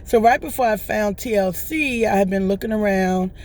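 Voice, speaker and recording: A woman with a hoarse voice that is still recovering, talking casually and at length to her vlog viewers and often asking "right?". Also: medium pitch, normal speed, a little mellow. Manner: rambling, chatty, often checks in with "right?". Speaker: vlogger. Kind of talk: casual testimonial chat.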